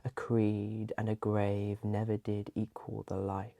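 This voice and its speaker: A soft, breathy ASMR host reading in a hushed voice that often slips into a whisper. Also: medium pitch, completely flat delivery, a little slow, no echo.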